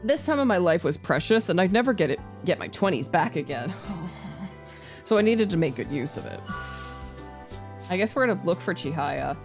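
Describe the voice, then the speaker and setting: A woman's voice: lowish voice, sing-song, normal speed, music in the background. A woman streamer playing a visual novel live, reading the narration aloud and adding playful, casually sweary asides. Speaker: streamer, virtual YouTuber.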